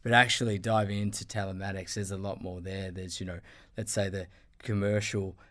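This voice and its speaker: Effusive low-voiced male podcast host. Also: little pitch movement, fairly quick, a bit bright. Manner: effusive, many fillers and restarts. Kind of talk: casual interview chat.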